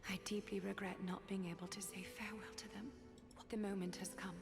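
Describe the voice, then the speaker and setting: A woman playing a serene, dignified demigod in a video game, speaking formally and gently with resigned composure. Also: medium pitch, little pitch movement, fairly quick, gravelly, lightly acted. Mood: calm, resigned. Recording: long cavernous reverb, music behind.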